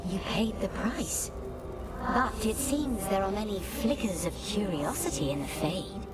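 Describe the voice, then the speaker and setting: An actress playing a courteous, curious ancient spirit in a fantasy game, with a stately, formal manner. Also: female voice, medium pitch, animated, unhurried, loud music behind the voice, close to an everyday voice. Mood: serene, curious.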